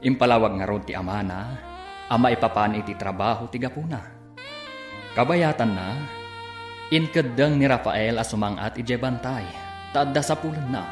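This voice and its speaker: A man's voice: mid-range voice, normal speed, long pauses. A man narrating and announcing a radio drama serial in a dramatic scripted style. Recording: echoey, with faint music.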